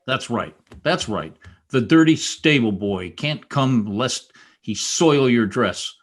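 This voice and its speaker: Man acting husky young stable hand, bitter and lovesick, intense, wide swings of pitch, radio play. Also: expressive, slow pace. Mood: bitter, angry. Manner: intense, scornful and pleading. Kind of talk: old-time radio drama acting. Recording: amateur radio play recorded over video call.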